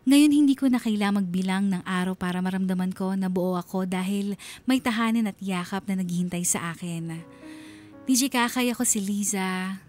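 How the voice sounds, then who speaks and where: An actress playing a reflective, steadfast wife, narrating a scripted radio drama monologue in a solemn, heartfelt, deliberate way. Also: female voice, mid-range voice, a little mellow, soft background music, lightly acted. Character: reflective, steadfast wife narrating her letter of long waiting.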